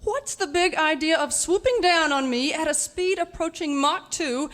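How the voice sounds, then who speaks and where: An actress playing a haughty, exasperated Hollywood starlet, snappish and sarcastic, in a radio serial parody. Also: female voice, high-pitched, animated, normal speed, fairly bright tone.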